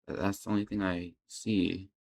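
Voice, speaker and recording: Deep-voiced man reacting casually. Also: flat delivery, normal speed, creaky, a little mellow. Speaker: reaction video host.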